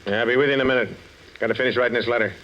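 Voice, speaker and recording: An actor playing a distracted, slow-on-the-uptake police inspector in a 1940s radio drama. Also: male voice, mid-range voice, steady tone, fairly bright tone, lightly acted. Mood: distracted, peeved. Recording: muffled old 1940s radio recording.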